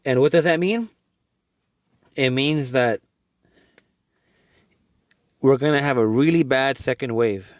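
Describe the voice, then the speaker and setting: A young adult man from Guatemala talks earnestly in a middle-pitched voice, rambling and restarting his sentences as he goes. The recording is dry and close, with no room sound. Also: no echo. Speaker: vlogger. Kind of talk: casual video update.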